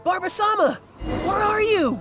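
A man's voice: extremely high pitch, moderate pace, over music. A man voicing an earnest, self-important young fan club leader in a video game, stammering and fussy. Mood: calling out. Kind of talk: video game character acting.

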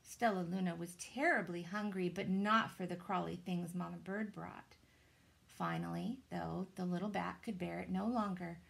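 A woman, reading a children's story aloud in a dramatic, deliberate way. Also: lowish voice, fairly even intonation, creaky, fairly bright tone, rough recording. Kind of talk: expressive children's storybook narration. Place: small indoor room with shelves.

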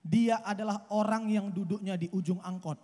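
Young guy from doing stand-up, lively and chatty, handheld mic, voice ringing out with reverb. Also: high voice, moderate pace. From Medan, Indonesia. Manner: chatty, animated storytelling with acted quotes. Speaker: stand-up comedian. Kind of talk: stand-up comedy set. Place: outdoor stage at a night event.

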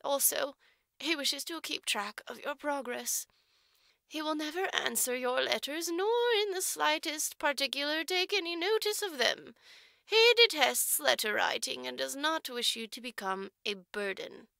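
A stern orphanage matron in an audiobook story, acted out by a woman, pompous and officious. Her voice is very high and bright, with emphatic, formal oratory in a dry recording. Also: measured pace, gravelly, no room sound, heavily acted.

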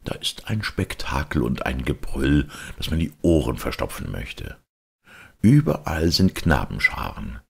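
Very deep, gravelly male storyteller. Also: very animated, unhurried, darkish tone. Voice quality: gravelly, husky. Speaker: audiobook narrator. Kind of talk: expressive scripted storytelling for children.

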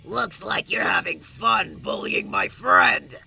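An enraged monster prince in a cartoon comic dub. The voice is raspy and gravelly, drawn out and threatening. It swings widely in pitch and cuts sharply. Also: male voice, lively intonation, pushed and bright, shouting, full character voice. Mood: menacing rage. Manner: slow, threatening delivery. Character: enraged demonic transformed goat monster prince, menacing.

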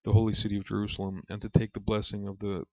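Low-voiced man reading, dull echoey recording. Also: completely flat delivery, racing. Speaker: reader and religious teacher. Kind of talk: reading aloud a spiritual book as a lesson.

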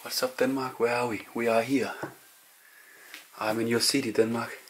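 A man narrating quietly to camera as he explores an abandoned house, musing in hushed. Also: mid-range voice, little pitch movement, normal speed, slightly rough. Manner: hushed, musing, non-native English phrasing. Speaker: urban explorer vlogger. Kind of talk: casual narration while exploring.

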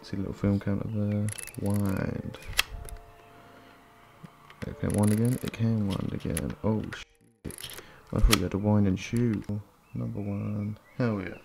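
A young man with a croaky, just-woken voice, rambling casually through a home vlog with lots of restarts. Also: fairly low pitch, little pitch movement, a little slow, background noise. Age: young adult. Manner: rambling, self-deprecating, restarts a lot. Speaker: film photography vlogger. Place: small home office room. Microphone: desk mic in front.

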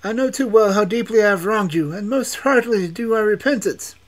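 A guilty young prince from a storybook begs for mercy in a strained voice raised well above a man's normal pitch, as the male reader acts him out. His pleas come out loud and earnest. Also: fairly quick, natural voice. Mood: pleading. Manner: high, begging.

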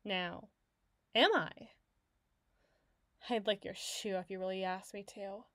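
A woman's voice: mid-range voice, steady tone, slow pace, a bit bright, dry recording, studio quality. A woman voicing an obsessive, masochistic lovesick girl in a theatrical audio roleplay, needy and taunting. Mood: needy, teasing. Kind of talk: dramatic ASMR roleplay monologue.

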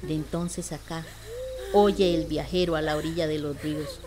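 A woman's voice: low voice, steady tone, moderate pace. A Costa Rican woman reading a scripted legend as narrator of a radio play. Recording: clear background noise, nature ambience.

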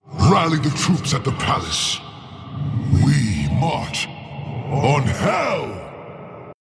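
An actor playing a solemn armored fallen angel commander in a video game, speaking in an imperious, stately manner. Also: male voice, medium pitch, wildly swooping pitch, very slow, gravelly, heavily acted. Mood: solemn, commanding. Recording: long cavernous reverb, music behind.

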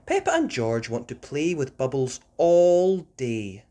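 Slow, very animated woman reading a storybook. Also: extremely low pitch. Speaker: storybook reader, channel host. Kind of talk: expressive children's read-aloud narration.

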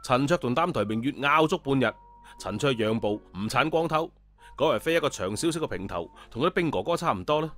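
A man's voice: mid-range voice, lively intonation, fairly quick, fairly bright tone, soft background music. A man narrating a story in colloquial style.

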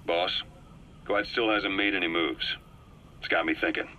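An actor playing a shrewd, seasoned male military intelligence officer in a game, briefing in a measured, deliberate way with dramatic pauses. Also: deep voice, fairly even intonation, pushed and bright, dull audio. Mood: pensive, wary. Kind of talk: scripted game audio briefing.

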